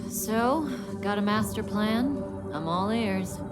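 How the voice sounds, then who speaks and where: A woman's voice: medium pitch, slow, lightly acted. A woman voice-acting a poised, teasing space-faring thief in a video game, languid, wry and confident. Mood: amused, curious. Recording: loud music behind the voice. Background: loud game soundtrack music.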